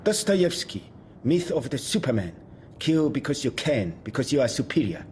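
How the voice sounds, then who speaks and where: A man's voice: medium pitch, big pitch swings, normal speed, a little mellow, a little hiss or hum, lightly acted. A man playing a solemn, cryptic, intense neighbour in an audio drama, speaking in grave clipped pronouncements. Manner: grave, declarative, clipped phrasing.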